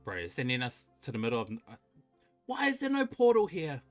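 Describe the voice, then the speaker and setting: A man's voice: mid-range voice, wildly swooping pitch, a little fast, no echo. A man streaming a video game, chatting casually with viewers and commenting as he plays. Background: faint game music.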